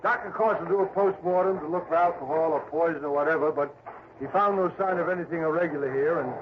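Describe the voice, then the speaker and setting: An actor playing a chatty, blunt police sergeant in a 1960s radio drama, folksy, emphatic and sure of himself. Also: male voice, fairly high pitch, quick pace, lightly acted. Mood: matter-of-fact, insistent. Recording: noisy, muffled old radio broadcast.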